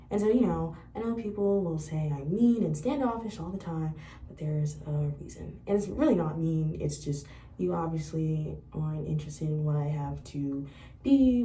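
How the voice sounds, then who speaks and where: A woman's voice: on the low side, a little hiss or hum. A young woman in college vlogging, chatting casually about her life and acting out past conversations. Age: young adult. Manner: chatty, animated retelling of conversations. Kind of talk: casual storytelling to camera. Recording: echoey room, voice a bit far from mic.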